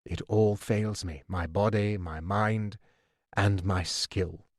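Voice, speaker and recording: A weary, failing old king speaks in a low, hushed voice, as a man acts him out in an audiobook. His delivery is slow and heavy, and the recording is close and dry. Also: steady tone, no echo. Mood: weary, grave. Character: weary, failing aging king, grave and soft-spoken.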